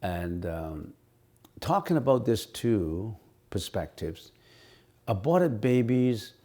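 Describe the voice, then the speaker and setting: A man whose first language is Cantonese, a Buddhist monk answering questions in a dharma talk, halting with frequent restarts and fillers, slowing down to stress his points. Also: fairly low pitch, sing-song, warm tone. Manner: slow, emphatic teaching tone.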